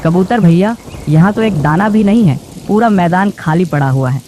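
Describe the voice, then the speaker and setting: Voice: medium pitch, moderate pace, warm tone, natural voice. An actor voicing a sensible, polite father sparrow in a bird cartoon. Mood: let down. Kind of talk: Hindi bird cartoon voice acting. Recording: rain noise behind.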